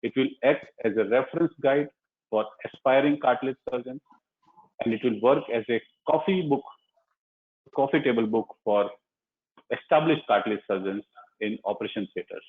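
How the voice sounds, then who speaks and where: A man delivering a prepared launch speech as a surgeon and author, speaking deliberately in short, emphatic phrases. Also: medium pitch. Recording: muffled, phone-like narrow-band online call audio.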